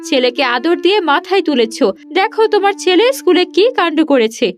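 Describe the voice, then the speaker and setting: A woman's voice: very high voice, lively intonation, a little fast. A woman voicing a stern but caring mother and schoolteacher in a cartoon. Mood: scolding. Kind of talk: cartoon dialogue.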